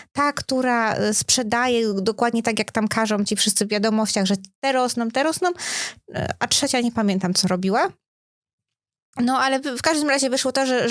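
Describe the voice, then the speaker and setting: A woman chats casually as a podcast co-host. She explains in an animated, story-like way and throws in rhetorical questions. Her voice is fairly high, and the recording is dry. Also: no echo. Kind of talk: casual chat.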